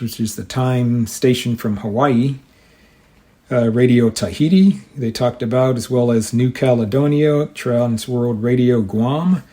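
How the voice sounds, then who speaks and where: Mellow-voiced man, informal radio hobbyist. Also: mid-range voice. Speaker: host of a shortwave radio hobby show. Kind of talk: casual hobbyist monologue with some reading aloud.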